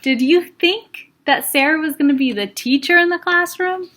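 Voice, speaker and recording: A woman elementary school teacher chatting to young children in an expressive, reassuring way with simple phrasing. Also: high voice, moderate pace, slight background noise. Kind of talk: read-aloud and chat addressed to young children.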